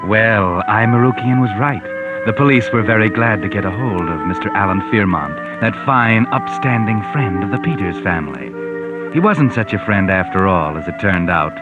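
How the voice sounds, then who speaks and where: Thin, phone-like old radio sound with music behind. An actor plays a sardonic American mystery narrator, low and knowing, with a theatrical, widely swooping delivery from the 1940s. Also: male voice, lively intonation, moderate pace, natural voice. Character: sly, omniscient sardonic narrator of crime tales.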